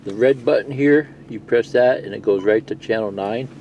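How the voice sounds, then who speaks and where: A man's voice: fairly low pitch, normal speed, highs cut off, slight background noise. A middle-aged man giving a casual, rambling, plain-spoken hands-on walkthrough of a gadget, explaining as he goes. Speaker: CB radio video presenter.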